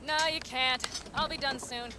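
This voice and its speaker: A woman's voice: sits high, steady tone, normal speed, background noise, close to an everyday voice. An actress voicing a blunt, self-assured female desert nomad in a video game who lays out plans in a dry, matter-of-fact way. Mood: calm, assured. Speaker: game character.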